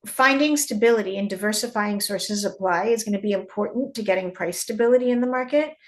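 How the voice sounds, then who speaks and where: A woman's voice: medium pitch, fast, low-quality recording. A woman originally from Canada, a mining industry guest in an interview, explaining at length with frequent restarts and repeated words. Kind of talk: conversational interview.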